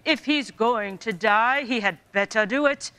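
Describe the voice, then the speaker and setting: A woman's voice: fairly high pitch, unhurried, bright, forceful tone, clean tone. A woman playing a solemn Christmas ghost in a radio play, giving grave and reproachful pronouncements. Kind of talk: radio play acting of a Victorian story.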